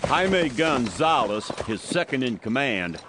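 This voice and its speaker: A man's voice: medium pitch, big pitch swings, slow, background noise. A man giving scripted dramatic narration of a western audiobook. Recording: music behind.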